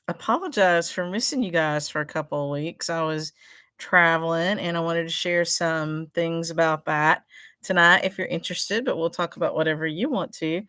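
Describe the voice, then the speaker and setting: A woman's voice: on the low side, sharp tone, dry recording, clean studio sound. A middle-aged woman who runs a needlework shop, chatting casually on a livestream, rambling and greeting viewers by name. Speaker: stitchery shop owner hosting a livestream. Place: her shop in a small town.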